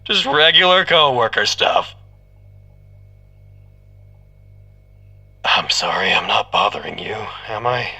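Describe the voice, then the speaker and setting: An actor playing an exasperated but supportive coworker, wry and conversational in an audio drama. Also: male voice, on the low side, big pitch swings, moderate pace, vocal fry, sharp tone, slightly rough, lo-fi sound, heavily acted. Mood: teasing, gentle. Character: exasperated, teasing yet supportive male coworker. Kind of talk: naturalistic audio drama dialogue.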